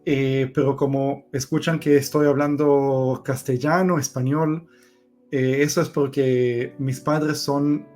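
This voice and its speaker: A man in his thirties from Israel, whose first language is Hebrew, telling his personal story in Spanish slowly and hesitantly with many fillers. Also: medium pitch, level tone, darkish tone, soft background music. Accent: Israeli, Argentine-influenced Spanish. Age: 30s. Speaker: guest giving a testimony. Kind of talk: personal testimony.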